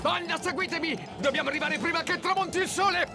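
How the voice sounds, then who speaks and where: A man's voice: extremely high pitch, fast, very noisy. A man voicing a boastful, bossy monkey gang leader in a children's cartoon, theatrical and gloating. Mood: urgent, commanding. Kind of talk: animated children's cartoon acting. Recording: loud music under the voice.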